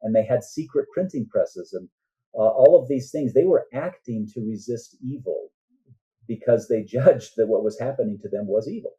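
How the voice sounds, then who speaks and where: A man speaking as a guest author in an interview, in an earnest, halting manner with deliberate pauses. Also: medium pitch, lively intonation, dark tone. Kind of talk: conversational interview answers. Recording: muffled, dull band-limited sound.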